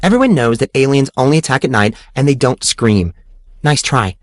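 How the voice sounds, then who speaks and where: A man's voice: medium pitch, big pitch swings, normal speed, no echo, studio quality, voice pushed up, natural voice. A man voicing a teasing, dramatic, boy-crazy teenage girl in an audiobook story. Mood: excited.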